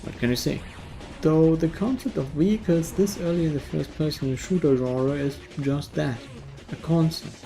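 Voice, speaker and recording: A man's voice: a little high, moderate pace, warm tone, soft background music. A man from Germany, narrating a casual game review in a dry, wry manner.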